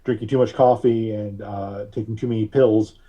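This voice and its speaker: A man speaking as a podcast guest in a conversational interview, thoughtful and often halting as he searches for words. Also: lowish voice, fairly even intonation, dark tone, highs cut off. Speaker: podcast guest, artist and reverend.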